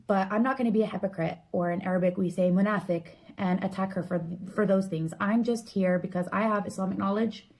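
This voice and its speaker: A woman giving a casual, explanatory monologue, earnest and opinionated, often halting and leaning on filler words. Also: medium pitch, darkish tone.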